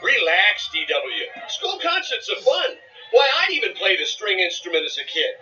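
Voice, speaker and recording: A cheerful, dim cartoon duck sidekick, with a very high, piercingly bright voice. He is good-natured. The sound is a little distant and dull. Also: sing-song, moderate pace, full character voice. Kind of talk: children's read-along cartoon acting. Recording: dull, distant lo-fi audio, faint music.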